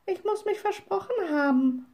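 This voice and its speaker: A woman's voice: very high-pitched, animated, normal speed, darkish tone, voice pushed up. A woman voicing a small, hot-tempered young witch from a storybook, stubborn and indignant. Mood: sheepish. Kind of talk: storybook character voice.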